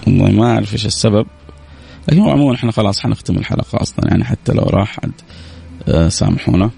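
Radio guy joking around with listeners, fast, gravelly voice. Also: on the low side, mellow, faint music behind. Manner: chatty, joking, laughs often. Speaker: radio host. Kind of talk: casual joking sign-off.

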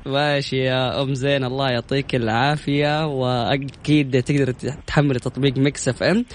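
A man's voice: medium pitch, fairly even intonation, brisk, a little hiss or hum. A man with a Saudi accent presenting a morning radio show in a chatty, familiar, affectionate way. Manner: chatty, familiar, addresses listeners and callers affectionately. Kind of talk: lively radio presenting with a phone-in quiz. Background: music bed.

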